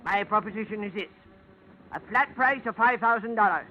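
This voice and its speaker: High-voiced, pompous radio-drama salvage expert played by a man. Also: normal speed, natural voice. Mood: businesslike, grand. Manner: pompous, florid, formal, chuckling. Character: genial, verbose deep-sea salvage expert, fond of flourishes. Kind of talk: 1950s radio drama acting. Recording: dull, noisy old radio recording.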